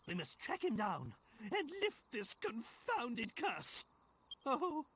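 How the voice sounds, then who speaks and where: Casting: pompous old cursed king for a game; husky, slow, hugely animated, indignant and theatrical. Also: high voice, exaggerated voice. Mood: lamenting. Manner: theatrical, old-fashioned exclamatory speech. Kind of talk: video game character acting.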